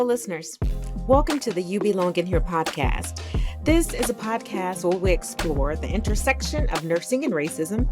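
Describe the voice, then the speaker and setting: A middle-aged woman, a nurse practitioner, hosting a podcast with a formal, enthusiastic scripted intro and then interviewing a guest. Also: mid-range voice. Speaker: podcast host.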